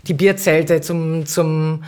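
A woman's voice: low-pitched, fairly even intonation, takes it slowly, a little mellow. A middle-aged woman answering as an interview guest, conversational and reflective, often searching for the right words. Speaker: city councillor, podcast guest.

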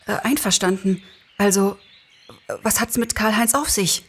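A woman's voice: mid-range voice, measured pace, a bit bright, breathy edge. A woman voicing a hesitant but generous female character in a storybook reading, speaking in a chatty way. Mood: skeptical.

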